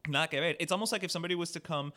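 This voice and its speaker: A man's voice: fairly high pitch, racing, bright, forceful tone. A man hosting a podcast, asking long, winding questions in a casual tone. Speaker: podcast host. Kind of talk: casual interview conversation.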